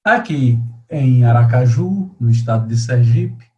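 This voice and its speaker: A man introducing a guest as host, speaking formally in deliberate, oratorical phrases with pauses. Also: medium pitch, animated, a little mellow, highs cut off. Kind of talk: measured, formal introduction to an oral-history interview.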